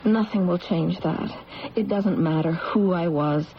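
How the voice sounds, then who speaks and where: A woman's voice: lowish voice, a little slow. A woman acting an earnest, troubled young woman in a radio drama, with an intense, dramatic delivery. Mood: earnest, pleading. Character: earnest, troubled adopted young woman seeking her origins. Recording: muffled, noisy old radio broadcast.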